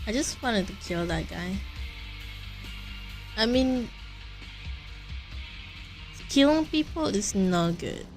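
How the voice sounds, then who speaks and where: A woman's voice: medium pitch, animated, slow pace, pauses often, a little mellow, faint music behind. A woman streaming a game, casually and playfully reacting in short exclamations.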